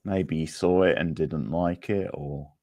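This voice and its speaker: Slow, low-voiced man. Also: fairly even intonation, darkish tone, no room sound. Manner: unhurried, rambling, replying to viewers. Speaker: livestream quiz host. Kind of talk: casual livestream chat.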